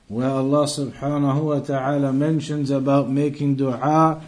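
A man giving an Islamic lesson as a teacher, reciting texts and explaining them phrase by phrase in a deliberate, repetitive way. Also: a little high, a little mellow. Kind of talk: religious lesson, reciting Arabic texts then translating phrase by phrase.

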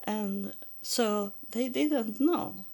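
A woman with a Swedish accent tells her story in English, slowly and haltingly, stopping often to search for words. Her voice has a gravelly, creaky edge at the end of phrases. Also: medium pitch, darkish tone. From Sweden. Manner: halting, many fillers and restarts. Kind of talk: personal testimony.

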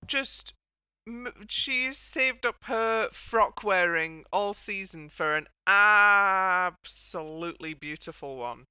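A woman's voice: medium pitch, slow, pushed and bright, clear, resonant tone, dry recording. A woman hosting a casual panel chat in a wry, playful way. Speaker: podcast host.

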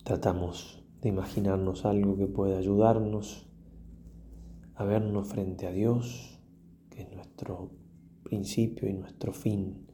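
A man, a priest leading a guided prayer meditation in a solemn, reflective manner with long pauses and softly trailing phrases. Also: deep voice, flat delivery, slight background noise.

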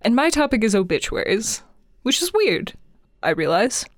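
A woman's voice: a little high, sing-song, moderate pace, dry recording, studio quality. A woman in her twenties co-hosting a podcast in casual chat, enthusiastic and emphatic. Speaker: podcast co-host.

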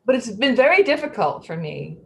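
A woman playing an anxious, slightly odd middle-aged woman who speaks haltingly in a play reading. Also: on the high side, very animated, vocal fry, darkish tone. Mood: fretful. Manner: halting, repeating words, fretful.

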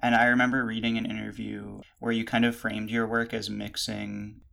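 Guy interviewer, gravelly voice, pretty flat, asks rambling hedgy questions. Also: lowish voice. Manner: halting, hedging questions.

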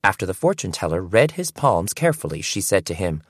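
A man reading a first-person audiobook in an expressive, storytelling way. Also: sits low, fairly quick, slightly rough, no room sound, clean studio sound. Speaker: audiobook narrator.

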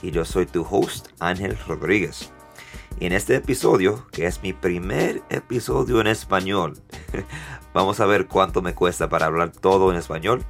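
A man in his thirties from New York hosting a casual solo podcast in Spanish, with an accent mixing Dominican and American English, halting and correcting himself often. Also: low voice, a bit bright. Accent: mix of Dominican Spanish and American English. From New York, United States. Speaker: podcast host.

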